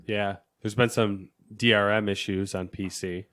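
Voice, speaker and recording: A man's voice: on the low side, fairly even intonation, unhurried, fairly bright tone. A man co-hosting a gaming podcast in casual chat, laid-back, with short replies. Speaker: podcast co-host.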